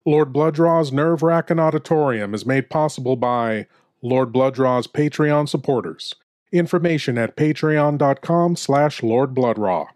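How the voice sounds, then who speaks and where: A man playing a suave, ghoulish horror host lord, speaking in a deliberate, intimate, theatrical way as he introduces scary tales. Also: medium pitch, a little mellow, natural voice. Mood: solemn. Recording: long reverberant hall echo.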